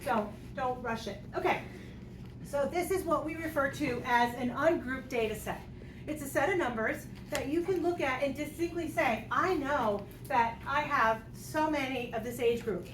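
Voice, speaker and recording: Playful high-voiced woman teacher, echoey classroom. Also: normal speed. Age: 50s. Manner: playful, jokey, talks through examples aloud. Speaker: math teacher. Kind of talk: classroom lecture. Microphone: distant room mic. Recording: echoey classroom, distant mic, noisy.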